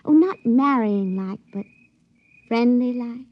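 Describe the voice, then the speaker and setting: Naive country girl, hill accent, high voice, plaintive n folksy, old radio play w muffled sound. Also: slow, no room sound. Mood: shy, tender. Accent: American rural hill-country. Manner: folksy, hesitant, guileless. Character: naive, lovestruck young backwoods hill girl, moody and plaintive. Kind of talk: 1950s radio drama acting. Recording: dry, dull vintage radio recording.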